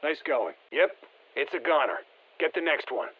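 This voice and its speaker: A seasoned special-forces operator from a video game, giving mission guidance in terse, matter-of-fact phrases. He sounds steady and encouraging, with a slightly dull edge to the audio. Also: a little high, moderate pace, slightly rough, dry recording. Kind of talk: scripted video game voice lines.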